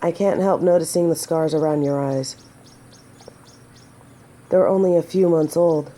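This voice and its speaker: A woman's voice: low voice, unhurried, dark tone. A woman playing a noble, humble lady knight in an audio drama, courteous and protective, with formal, old-fashioned courtly phrasing. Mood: friendly, curious. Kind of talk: scripted roleplay audio drama to the listener.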